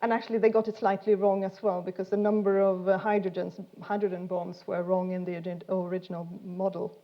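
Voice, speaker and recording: A woman's voice: mid-range voice, level tone, brisk, muffled recording. A woman giving an informal science lecture in an explanatory, hesitant way, often repeating words as she goes. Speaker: speaker giving a talk.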